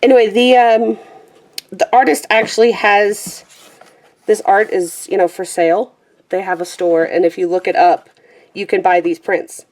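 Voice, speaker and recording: A woman's voice: medium pitch, steady tone, normal speed, a little mellow. A woman in a small room at home, chatting casually and rambling on camera, with a self-deprecating manner, trailing off with fillers and guessing at names as she goes. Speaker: vlogger showing her colouring book collection. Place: small room at home with a pet squeaking nearby.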